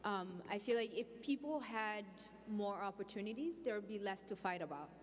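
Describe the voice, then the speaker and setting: A woman's voice: mid-range voice, animated, moderate pace. A young woman from Congo speaking earnestly as a panelist on stage, holding a handheld mic in a large hall. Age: young adult. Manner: earnest, outspoken, with restarts. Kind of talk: panel discussion. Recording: hall reverb, faint background noise.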